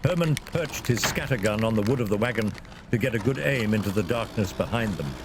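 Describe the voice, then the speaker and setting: A man's voice: lowish voice, animated, brisk. A man narrating a scripted fantasy adventure in a dramatic storytelling style. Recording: clear vehicle and ambience noise behind.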